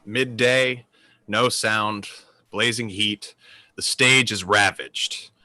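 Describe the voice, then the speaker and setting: A man's voice: fairly low pitch, steady tone, takes it slowly, bright, forceful tone, no room sound. A man narrating a play, reading out its stage directions as scripted narration.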